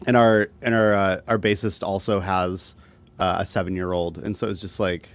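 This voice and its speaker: Male musician guest, lower voice, relaxed and self-deprecating, conversational interview delivery with hesitant phrasing. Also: moderate pace. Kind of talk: casual interview chat.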